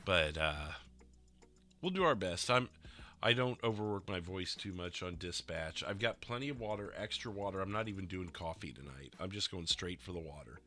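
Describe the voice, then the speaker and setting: A man chatting casually with his stream viewers, his voice a little rough and stuffy, rambling and laughing often. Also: low voice, lively intonation, normal speed, pushed and bright. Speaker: livestreamer playing a roleplay dispatcher.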